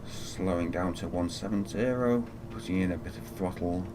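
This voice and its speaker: Male game streamer, deep voice, low-key casual commentary, muttered procedures, noticeably noisy background. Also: moderate pace. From Fiji. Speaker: flight simulator live streamer. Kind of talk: casual live gaming commentary.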